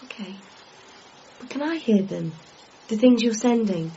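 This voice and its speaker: A woman's voice: on the high side, animated, measured pace, dark tone. An actress playing a devoted, patient girlfriend in a radio comedy drama, coaxing and reassuring. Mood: gentle, reassuring. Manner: reassuring, pleading. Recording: muffled, noisy ambience behind.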